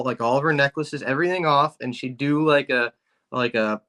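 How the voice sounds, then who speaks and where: Pennsylvania man, co-host, deadpan joker, bright tone. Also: mid-range voice. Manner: joking, deadpan tall tales. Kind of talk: casual chat.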